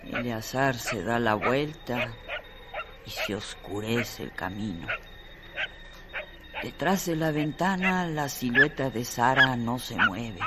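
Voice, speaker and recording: A man narrating a radio drama from a script in measured, separated phrases. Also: medium pitch. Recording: strong noise behind the voice.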